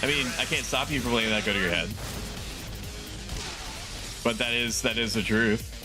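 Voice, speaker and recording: A chatty male streamer talking at an ordinary pitch while a game's music runs clearly underneath. He rambles from one thought to the next, loose and offhand, and breaks into laughs often. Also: moderate pace. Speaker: VTuber streamer. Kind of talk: casual live-stream gameplay chat. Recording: game music playing under the voice. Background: game music.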